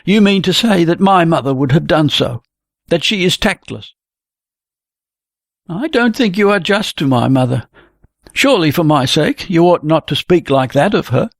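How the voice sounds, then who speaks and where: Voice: medium pitch, lively intonation, normal speed, dry recording, clean studio sound, pitched above the performer's own voice. Reader doing a touchy, wounded young man. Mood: heated. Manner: hurt, heated, pleading. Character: touchy, sensitive young man, quick to hurt.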